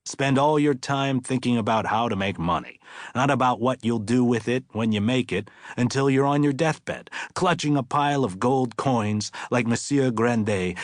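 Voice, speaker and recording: A man voicing an earnest, reflective middle-aged father in an audiobook, musing deliberately and with emphasis. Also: mid-range voice, no room sound, studio quality, lightly acted.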